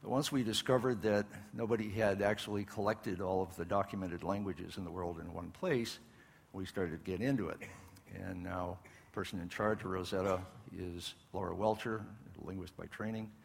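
Deep gravelly man in an echoing hall. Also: fairly even intonation, normal speed. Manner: conversational with frequent fillers. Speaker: host introducing a speaker. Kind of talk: live talk introduction. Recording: long reverb, faint ambience.

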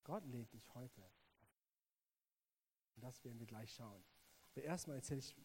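A man from South Africa, speaking with a South African English accent and a native English speaker, preaching a sermon in an earnest, halting way, often repeating words as he addresses the listener directly. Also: medium pitch, expressive, darkish tone, a little hiss or hum, rough recording.

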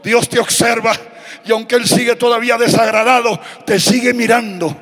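Male preacher, very high voice, sing-song shouting delivery, big echoing hall. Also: steady tone, normal speed. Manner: chanting, half-sung shouted preaching. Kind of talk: impassioned sermon. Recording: long hall reverb.

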